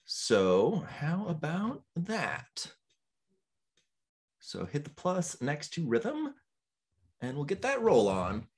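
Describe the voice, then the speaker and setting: A man running a tabletop role-playing session as game master, encouraging the players and pausing often with ums. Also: a little high, huge pitch swings, breathy edge. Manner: encouraging, hesitant with frequent ums.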